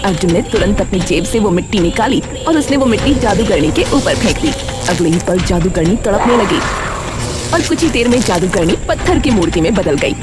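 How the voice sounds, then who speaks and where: A woman's voice: mid-range voice, heavy background noise. A woman reading scripted narration for a story as its narrator.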